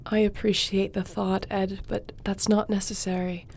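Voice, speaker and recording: A woman's voice: medium pitch, flat delivery, moderate pace, gravelly, a little mellow, slight background noise, lightly acted. A woman acting as a loyal, affectionate court sorceress in a fantasy audio roleplay, with a heartfelt delivery. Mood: firm, sincere.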